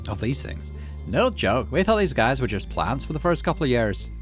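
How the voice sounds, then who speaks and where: Man putting on a cheerful, folksy farmer dad, raised pitch. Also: big pitch swings, moderate pace, faint music behind, close to an everyday voice. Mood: enthusiastic. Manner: folksy, chummy, explanatory. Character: cheerful folksy farmer dad showing his child around. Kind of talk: visual novel character read aloud.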